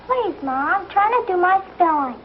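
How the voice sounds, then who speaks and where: A woman's voice: extremely high pitch, animated, moderate pace, a little hiss or hum. An actress playing a whiny, innocent-sounding schoolgirl daughter in a 1950s television Western. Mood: defensive. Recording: dull old TV soundtrack, music behind.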